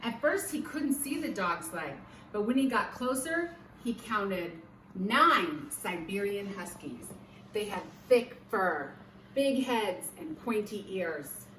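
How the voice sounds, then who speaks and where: A woman reads a children's story aloud at an unhurried, measured pace. Her delivery is expressive and deliberate, like a teacher telling a tale to young listeners. There is slight noise behind her voice. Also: mid-range voice, echoey room, poor audio. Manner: slow, deliberate phrasing with animated character voices and sound effects.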